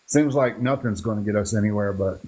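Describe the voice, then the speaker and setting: A man's voice: fairly low pitch, brisk, a little mellow, low-quality recording. A man on a live-stream discussion, speaking skeptically with dry sarcasm.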